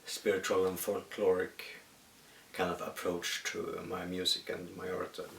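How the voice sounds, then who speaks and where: A Swedish man with a deep, rough voice muses aloud in English, stopping often to find his words. The mic sits a short way off, so the room echoes around him. A faint hiss runs underneath. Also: completely flat delivery, a bit bright, far from the mic. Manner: halting, thoughtful, many pauses. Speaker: musician. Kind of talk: reflective solo monologue. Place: at home in the countryside.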